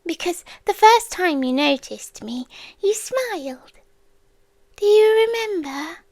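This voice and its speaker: Ancient possessive female stalker for ASMR roleplay, played by a woman: British, very slow, eerily tender, slipping into whispers, close and dry. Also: extremely high pitch, expressive, no echo, exaggerated voice. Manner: slow, hushed, often slipping into whispers, teasingly menacing. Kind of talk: ASMR roleplay, intimate dramatic monologue. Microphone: close-up ASMR microphone, dry.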